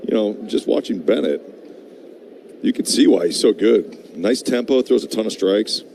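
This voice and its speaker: A man with a low, warm voice analyzes a live baseball game as color commentator. He talks conversationally, with some ballpark noise behind him. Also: animated, moderate pace. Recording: live broadcast with clear background noise.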